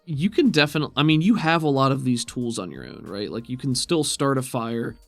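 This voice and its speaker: A man's voice: mid-range voice, expressive, a little fast, gravelly, no room sound, soft background music. A man running a tabletop game as game master, talking casually and encouragingly to players.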